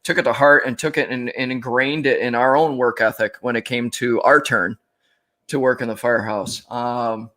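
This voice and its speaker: American male podcast host, forties, average pitch, reflective and halting, casual firefighter shop talk. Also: steady tone, bright, forceful tone. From upstate New York, United States.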